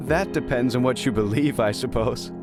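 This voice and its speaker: An actor playing a modest, wary high elf man with a hesitant manner in a fantasy audio drama. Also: moderate pace, music in the background, close to an everyday voice. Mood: dismissive.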